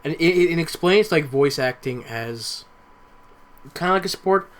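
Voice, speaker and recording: A man's voice: fairly high pitch, lively intonation, a little slow, fairly bright tone. A young man casually recapping his notes on a podcast. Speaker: podcast co-speaker.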